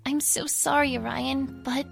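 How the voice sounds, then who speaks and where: A woman voicing a gentle young pony princess in a fan audio drama, hesitant, apologetic and stammering. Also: high voice, fairly even intonation, unhurried, creaky, exaggerated voice. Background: music.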